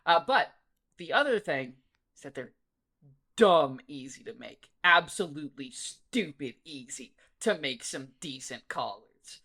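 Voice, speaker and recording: Bright-voiced male podcast co-host, rambling casually. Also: fairly high pitch, a little slow. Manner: rambling, animated storyteller, swears freely. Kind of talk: casual chat.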